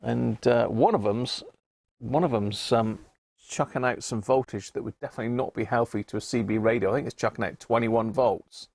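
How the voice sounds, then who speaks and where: Man, English accent, gravelly creaky voice, mid pitch, casual live-stream host, rambling, thinking aloud. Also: normal speed, darkish tone, dry recording. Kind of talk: casual live-stream chat while working at a bench.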